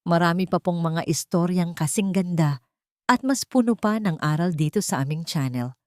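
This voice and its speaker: A woman's voice: on the low side, normal speed, a little mellow, dry recording, clean studio sound. A woman with a Filipino accent reading a scripted dramatic story as narrator and host, in an earnest, sentimental way.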